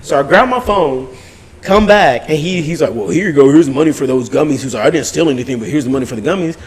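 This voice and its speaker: A man telling a story in an animated, casual way, swearing freely in slangy speech and acting out both sides of arguments. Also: fairly high pitch, fast talker. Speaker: podcast host recapping his weekend.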